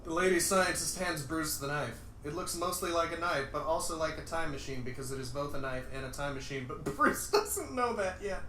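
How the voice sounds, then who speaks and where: A man's voice: on the high side, brisk, hardly any pauses, pushed and bright. A man giving an amused comic dramatic reading of a screenplay as a livestreamer. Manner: amused, often breaking into laughter. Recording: roomy, distant mic, faint noise.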